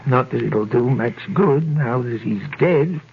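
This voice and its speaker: An actor playing a gruff, gossipy, bitter French tailor in a 1930s radio drama, with a gravelly, husky, knowing delivery. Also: male voice, mid-range voice, a little slow, heavily acted. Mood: scornful, gossipy. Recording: muffled old 1930s radio broadcast recording.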